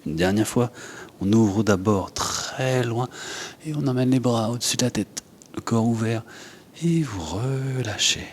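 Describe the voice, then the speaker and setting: A man leads a guided yoga and breathing class, giving unhurried instructions in short phrases with pauses. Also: mid-range voice, slight background noise. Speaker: yoga teacher.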